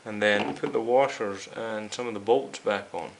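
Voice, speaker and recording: Male repair-video host, lowish voice, casual step-by-step explainer, faint noise and hiss behind him. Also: normal speed, low-quality recording. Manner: explanatory, addressing viewers directly. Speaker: host of a radio restoration series. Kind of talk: casual hands-on repair demonstration.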